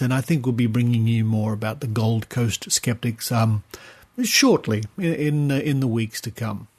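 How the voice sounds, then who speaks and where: An Australian man hosting a podcast in a chatty, conversational way, given to rambling asides. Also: mid-range voice. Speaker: podcast host.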